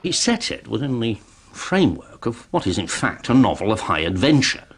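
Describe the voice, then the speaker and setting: A man's voice: medium pitch, big pitch swings, normal speed, breathy edge. A man giving interview answers as a society chairman, hesitant with many ums, growing enthusiastic.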